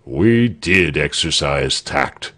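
A man's voice: extremely low pitch, lively intonation, takes it slowly, sharp tone, voice pushed down, heavily acted. A man voicing an earnest, slightly indignant male village spokesman recounting a visit.

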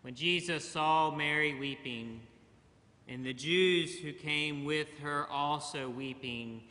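A middle-aged man preaching a sermon from a church pulpit, slow and measured with long pauses. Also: on the high side, sharp tone. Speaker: pastor. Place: large church sanctuary, at a pulpit. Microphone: pulpit mic, far camera. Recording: church hall reverb.

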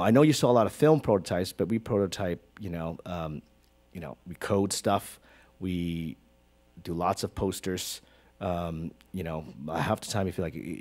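A man in his forties from Hong Kong, a creative director as guest in an onstage conversation, talking with lots of fillers and big hand gestures into a stand mic. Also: low-pitched. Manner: animated, gestures broadly, many fillers. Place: stage in a small auditorium with audience. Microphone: stand mic close to mouth.

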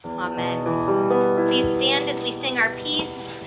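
High-pitched American woman pastor addressing her congregation. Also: expressive, slow pace, over loud music, low-quality recording. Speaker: pastor leading a church service. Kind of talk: informal church announcements and blessing to a congregation. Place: outdoor church patio.

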